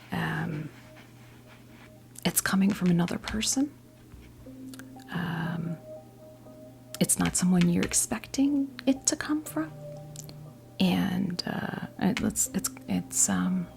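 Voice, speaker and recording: A woman in her fifties speaks in a hushed, breathy whisper that slips into heavy vocal fry. Her voice sits fairly low and she reads tarot in a soothing ASMR style, with frequent pauses. Music plays behind her. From New Jersey, United States. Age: 50s. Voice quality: breathy whisper mixed with soft creaky voice. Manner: slow, halting, pausing often, reassuring. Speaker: ASMR performer giving a tarot reading. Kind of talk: whispered ASMR role play, unscripted tarot reading.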